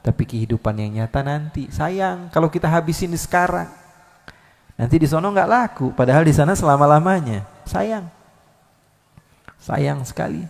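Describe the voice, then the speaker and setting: Man, preacher, gravelly, live sermon, rhetorical questions, long hall reverb. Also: on the high side. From Indonesia. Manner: lively, swinging from loud calls to soft asides, rhetorical questions. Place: large hall at a live religious gathering.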